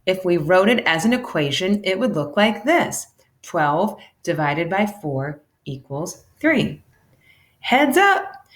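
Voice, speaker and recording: A woman reads aloud to children like a teacher sharing a book. Her pace is slow and her pitch moves up and down a lot. She is animated and acts out the dialogue. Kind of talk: expressive children's read-aloud.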